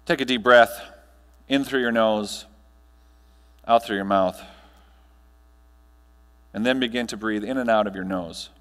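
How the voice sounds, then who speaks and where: An American man in his thirties speaking as a trainer to an audience, guiding them in a measured, instructional way with deliberate pauses. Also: fairly low pitch, a bit bright, long reverb tail. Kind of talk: guided breathing meditation and talk to an audience. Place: large hotel conference ballroom, on stage.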